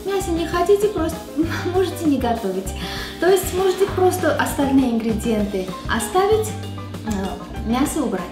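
A woman's voice: high-pitched, big pitch swings, normal speed. A woman, chatting casually as she cooks in a large home kitchen and sometimes searching for words. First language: Armenian. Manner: chatty, searches for Russian words, slips into English. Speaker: cooking show host. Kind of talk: casual cooking demonstration. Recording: echoey kitchen with background music.

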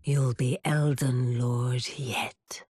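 An actress playing a solemn, mysterious maiden of doom in a game cutscene, breathy, hushed and portentous. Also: female voice, level tone, no echo, studio quality, exaggerated voice. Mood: solemn, foreboding. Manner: slow, portentous. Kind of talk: dramatic game cutscene acting. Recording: loud rumbling game ambience behind.

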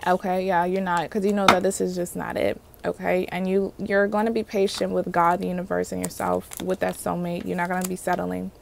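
A woman's voice: on the low side, level tone, brisk, vocal fry, hardly any pauses, background noise. A woman with a Haitian accent giving a casual tarot reading, chatty, often asking "okay?" and calling on the universe as she pulls cards. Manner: chatty, repeats "okay?" and "universe, clarify" while pulling cards. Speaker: tarot reader. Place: indoors at a table covered in card decks.

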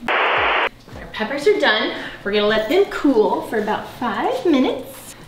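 A woman's voice: on the high side, very animated, slow pace, roomy sound, very noisy. A young woman hosting a casual cooking video, chatting and explaining each step as she cooks in a large home kitchen. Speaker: host.

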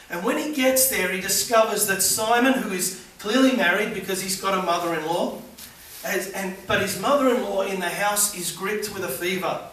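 A middle-aged man delivers a sermon in a small church, leaning into key words with earnest emphasis. His voice sits fairly high. The camera is far back in a very echoey room, with a faint hiss over everything. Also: fairly bright tone. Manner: earnest preaching with rising emphasis. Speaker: pastor. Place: small church hall with congregation.

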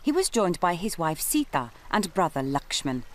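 A man narrating a puppet story in a calm, measured way. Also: on the high side, a little hiss or hum.

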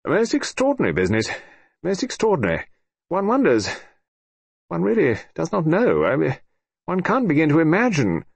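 A man's voice: wildly swooping pitch, moderate pace, vocal fry, long pauses, husky, no echo, heavily acted. A man voicing a fussy, flustered government official in an audiobook, who stammers and hesitates over his words. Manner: hesitant, stammering, higher-pitched. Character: fussy, flustered middle-aged male official. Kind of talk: audiobook character voice.

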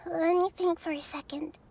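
A woman voicing a gentle, wise, childlike young goddess in an anime game, soft and thoughtful. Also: very high-pitched, measured pace. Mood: resolved, reassuring. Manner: thoughtful, calm, articulate. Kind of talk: scripted anime game dialogue.

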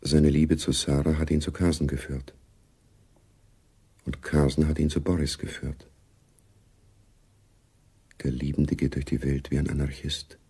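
A very deep, husky grave male narrator of a spy radio play. He speaks in a measured, sombre way with little movement in pitch. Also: little pitch movement, warm tone, exaggerated voice. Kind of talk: scripted radio drama narration.

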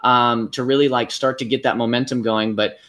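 A young man hosts a live business chat over a webcam from a small, dim room. His voice sits at an ordinary middle pitch and he talks loosely, leaning on "like" and "um" as he works through his points. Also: normal speed, slight background noise. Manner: relaxed, many fillers. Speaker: business coach host. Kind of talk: live video call interview. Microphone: webcam on video call.

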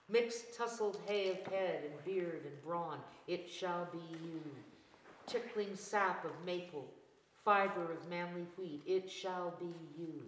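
Older female poet, 60s, unhurried measured reading, lowish voice, recorded in a reverberant room. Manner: deliberate, measured phrasing with pauses between lines. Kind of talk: live poetry reading. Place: church meeting room with tiled floor, indoors. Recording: roomy, reverberant hall sound.

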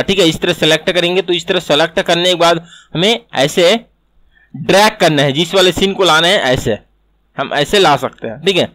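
Higher-pitched man giving a tutorial. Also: fairly bright tone. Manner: step-by-step, repetitive explaining. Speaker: animation tutor. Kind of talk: screen-recorded software tutorial.

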